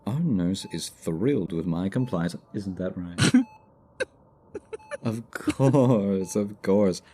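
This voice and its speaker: Smug villain male actor, slow and mellow, theatrical sarcastic teasing for a hospital ASMR drama. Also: deep voice, expressive, breathy edge, full character voice. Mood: mocking. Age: 20s. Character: smug flirtatious male supervillain recovering in hospital. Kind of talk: ASMR roleplay acting.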